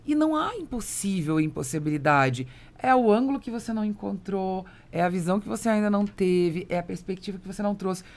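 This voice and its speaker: A woman's voice: medium pitch, expressive, fast, hardly any pauses, a little mellow. A Brazilian woman hosting a business podcast in a motivational, emphatic conversational way. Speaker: podcast host, business owner.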